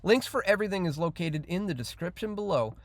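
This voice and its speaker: A man giving off-the-cuff news commentary as an independent host, reading out headlines in a halting but emphatic way. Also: high voice, lively intonation, no room sound.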